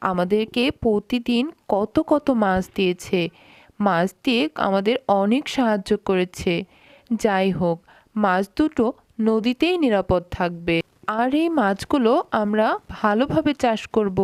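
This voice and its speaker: A woman's voice: medium pitch, unhurried, darkish tone, dry recording, natural voice. A woman playing a chatty village woman in a cartoon fable. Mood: concerned. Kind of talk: cartoon voice acting.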